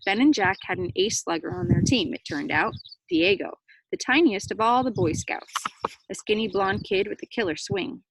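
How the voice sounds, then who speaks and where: Woman reading aloud, creaky voice. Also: medium pitch, sing-song, normal speed, rough recording. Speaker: read-aloud storyteller. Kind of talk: children's book read-aloud with brief asides. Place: outdoors near cows. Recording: faint background noise.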